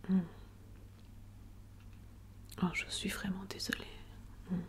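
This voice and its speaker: Woman, ASMR doctor roleplay. Whispered, breathy and even, with a gentle bedside manner. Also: mid-range voice, takes it slowly.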